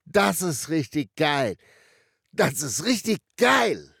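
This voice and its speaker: Husky gravelly speaker, slow and lively pitch. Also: dry recording, studio quality. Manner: repeats one phrase.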